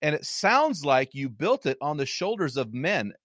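A man hosting an interview, casual and enthusiastic, with quick laughs. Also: on the high side, big pitch swings, a bit bright, dry recording, clean studio sound. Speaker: interview host.

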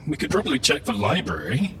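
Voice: medium pitch, huge pitch swings, quick pace, fairly bright tone, distant mic. An actor playing a dry, matter-of-fact spaceship crew member who reports findings in a live sci-fi comedy audio drama. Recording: noisy live stage recording, off mic.

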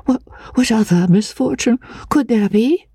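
A woman voicing a timid, childlike, troubled young woman in an audiobook of a classic novel, halting and repeating her words in a breathy voice. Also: fairly high pitch, warm tone, dry recording, pitched above the performer's own voice. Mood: bewildered. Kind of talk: dramatic audiobook reading of classic literature.

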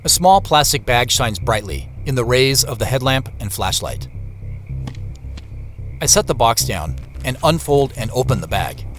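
A man's voice: medium pitch, sing-song, a little slow, a bit bright, soft background music. An actor playing an uneasy man who confides his secret treasure hunt in first-person audio drama narration. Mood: tense, guarded. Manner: confiding, present-tense storytelling. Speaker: narrator and main character.

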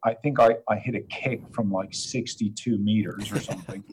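A man's voice: fairly low pitch, steady tone, brisk, a little hiss or hum, low-quality recording. A man in his fifties, a guest answering interview questions in an easygoing, hesitant way with many fillers. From Ireland.

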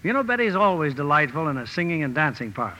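A man's voice: a little high, big pitch swings, quick pace, pushed and bright. An American man announcing from a script as host, in 1950s radio style. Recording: noisy vintage radio, audience sounds.

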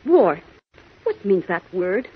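A woman's voice: mid-range voice, very animated, takes it slowly, husky, full character voice. A woman acting in a theatrical 1940s radio drama as an adventure-serial heroine. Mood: solemn. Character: two women: brisk reporter and solemn archaic princess. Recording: muffled vintage radio recording.

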